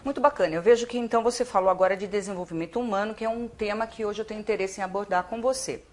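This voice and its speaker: A woman with a Brazilian accent hosting an interview show, presenting and asking questions in polished, deliberate phrasing. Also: medium pitch, a little mellow.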